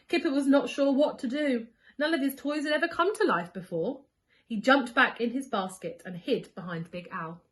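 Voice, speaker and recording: A woman reading a children's story expressively as a school storyteller, leaning on key words for young listeners. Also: sits high.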